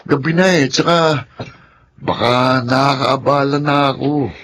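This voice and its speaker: An actor playing a deferential, reluctant young office employee in a Filipino radio drama, hesitant and polite. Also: male voice, mid-range voice, steady tone, unhurried, a bit bright.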